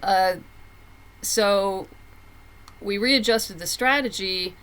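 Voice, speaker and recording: A woman's voice: mid-range voice, drawn-out words, a bit bright, smooth. A middle-aged woman talking casually as an interview guest, chatty and candid with emphatic phrasing. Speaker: podcast guest, comic book writer.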